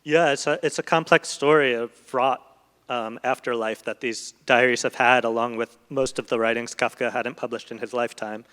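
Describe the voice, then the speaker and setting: A man speaking as a guest translator in a literary discussion, explaining thoughtfully in long, halting sentences. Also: medium pitch, steady tone, a bit bright. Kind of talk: literary discussion before an audience. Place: large event hall, live public talk. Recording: echoey hall with long reverb.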